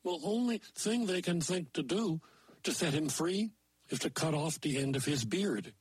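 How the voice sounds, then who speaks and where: A man's voice: on the high side, expressive, normal speed, husky, no echo. A man doing Maynard, a folksy moose storyteller. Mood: playful. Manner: playful, invents silly word forms. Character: folksy male moose storyteller, mangles words. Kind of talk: oral folktale telling.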